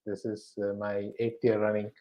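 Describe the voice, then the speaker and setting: A man from eastern India, a native Bengali speaker with a Bengali Indian accent, answering casually in an interview at home in halting, repetitive phrases. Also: fairly low pitch, completely flat delivery, highs cut off. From West Bengal, eastern India. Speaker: podcast guest, bank professional.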